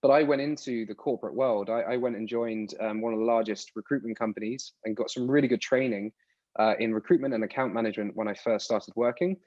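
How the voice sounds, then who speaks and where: A man's voice: fairly low pitch, steady tone, fast, darkish tone, no echo. A man from the UK in his thirties, answering interview questions in a rambling, casual way with frequent restarts. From United Kingdom. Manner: rambling, restarts words often. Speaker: podcast guest. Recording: dull lo-fi dry sound.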